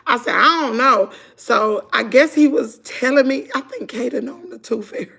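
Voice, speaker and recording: A middle-aged woman with a Southern US accent, chatting hoarsely to her viewers at home on a phone livestream. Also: fairly high pitch, moderate pace, pushed and bright. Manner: chatty, laughing, drawling, talks to "y'all". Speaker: vlogger on a morning livestream. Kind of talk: casual chat with viewers. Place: at home indoors.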